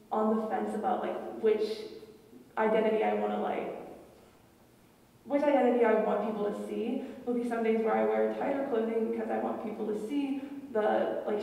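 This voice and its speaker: A woman's voice: on the high side, gravelly, warm tone. A teenage girl on a student panel speaking reflectively and hesitantly, with many fillers. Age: teens. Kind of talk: personal testimony on a panel. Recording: echoey hall, far from the mic.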